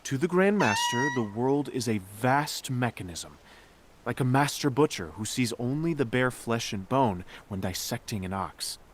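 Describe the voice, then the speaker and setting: A man playing an earnest, thoughtful sect disciple who recounts old tales in a measured, dramatic way for a video game. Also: mid-range voice, animated, a little hiss or hum, lightly acted. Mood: hesitant, thoughtful. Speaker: non-player character giving backstory. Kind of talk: expressive storytelling in video game dialogue.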